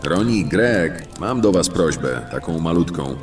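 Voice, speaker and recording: Man acting a very deep, surly fantasy tough. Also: animated, measured pace, far from the mic, faint music behind. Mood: irritated. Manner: gruff, curt, surly. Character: irritable, nervous, threatening male fantasy tough guy. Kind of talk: fantasy audio drama acting.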